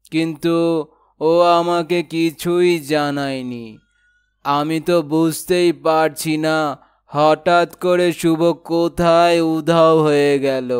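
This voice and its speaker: An extremely smooth, resonant office boss played by a man speaks very slowly and formally. He is a concerned, puzzled cartoon character, with faint music behind him. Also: fairly high pitch.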